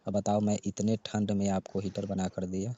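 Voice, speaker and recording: A man's voice: lowish voice, monotone, moderate pace, warm tone, natural voice. A man playing a sulky, distrustful young repairman who grumbles and complains in a staged comic phone call. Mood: aggrieved. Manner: complaining, grudging. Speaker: heater repairman. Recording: dull, dry lo-fi phone recording.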